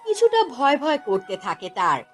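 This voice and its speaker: A woman's voice: on the high side, huge pitch swings, moderate pace, fairly bright tone, soft background music. A woman narrating a scripted fairy tale for a cartoon as the storyteller.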